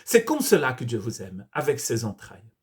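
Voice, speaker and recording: A man's voice: medium pitch, wildly swooping pitch, moderate pace. A man from Brazil, a pastor, preaching a sermon in earnest, often restarting and repeating words. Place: church.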